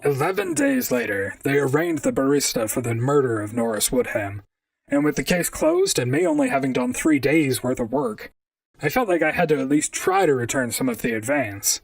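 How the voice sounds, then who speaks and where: Robot gumshoe for audio drama male actor: earnest, questioning, slightly high with fry, a little off-mic. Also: wildly swooping pitch, moderate pace, no room sound, far from the mic, full character voice, rough recording. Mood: narrating. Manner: earnest, questioning, narrates his own cases. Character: earnest, inquisitive robot private detective. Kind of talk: audio drama acting and narration.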